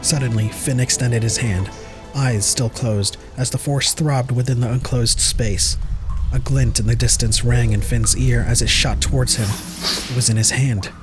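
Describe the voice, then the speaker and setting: A man's voice: medium pitch, steady tone, moderate pace, low-quality recording. A man narrating a fan audio drama in a scripted, dramatic storybook style. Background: prominent music.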